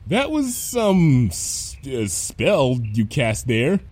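A man's voice: medium pitch, wildly swooping pitch, extremely slow, a little mellow, slightly rough, no echo, pitched above the performer's own voice, full character voice. A man voicing a flustered female pony schoolteacher in a story, faltering and wary.

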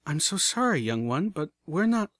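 A man voicing a kindly, refined adult mare who speaks softly and courteously, in an audiobook reading. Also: on the high side, sing-song, normal speed, dry recording, studio quality, pitched above the performer's own voice. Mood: gentle. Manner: soft, courteous, soothing.

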